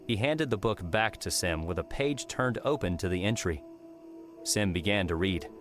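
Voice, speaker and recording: A male audiobook narrator reads scripted fiction in a fairly low voice. Music plays clearly behind the narration. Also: lively intonation, moderate pace.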